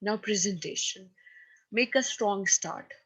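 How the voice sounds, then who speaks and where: A woman with a Pakistani accent delivers a lecture in a steady, mid-pitched voice. She explains each point firmly and pauses to ask her listeners whether they are following. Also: breathy edge, low-quality recording. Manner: instructive, emphatic, checks in with listeners. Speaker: teacher. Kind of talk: recorded lecture on presentation skills.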